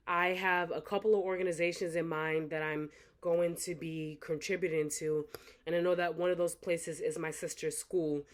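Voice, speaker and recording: Earnest young woman giving motivational talk. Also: on the low side. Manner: earnest, reflective, repeats phrases for emphasis. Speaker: author thanking supporters. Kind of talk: motivational direct-to-camera talk.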